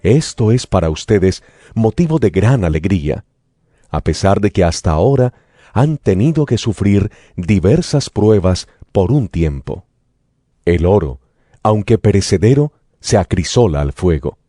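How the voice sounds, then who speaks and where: A man narrating a dramatized Bible reading in a solemn, measured declamation. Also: low-pitched, animated, dark tone, dry recording, studio quality. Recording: music bed under the voice.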